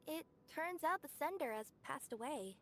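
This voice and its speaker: A friendly young courier for a video game. The voice sits high and moves in a lively, upbeat way. She sounds conversational and conscientious, with faint noise behind. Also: normal speed. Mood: earnest.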